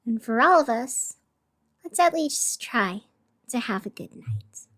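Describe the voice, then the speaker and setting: A very high-pitched female radio host in an audio drama, acted by a woman. She is wounded and intense, with feeling close to the surface. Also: very animated, takes it slowly, fairly bright tone, exaggerated voice. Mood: soothing, gentle. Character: female radio host, wounded, fiery, turning soothing on air.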